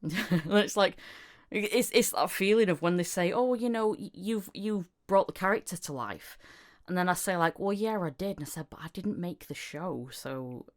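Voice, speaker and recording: A woman hosting a casual podcast, her voice sitting on the low side. She talks in a lively way, laughs often, and slips into little acted-out quotes. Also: normal speed. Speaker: podcast host.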